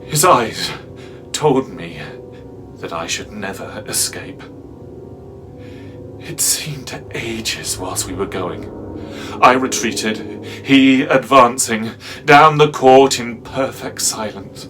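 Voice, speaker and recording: A man playing a haunted, fearful narrator in a dramatic reading of a horror tale, speaking deliberately and theatrically with halting pauses. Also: medium pitch, over music, lightly acted. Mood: hushed dread. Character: haunted, fearful man recounting a nightmarish pursuit. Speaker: actor, narrator.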